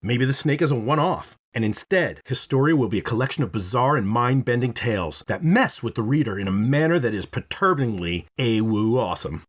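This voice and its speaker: A man hosts a scripted review in a medium-pitched voice. His intonation is lively and moves around a great deal from phrase to phrase. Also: fairly quick, hardly any pauses, slight background noise. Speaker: host and reviewer.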